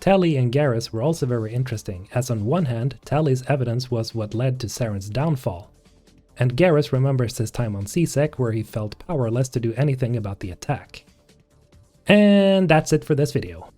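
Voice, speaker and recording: A man reads scripted narration for a video as its host. His voice is mellow and unforced, and light music plays behind him. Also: mid-range voice, animated, normal speed.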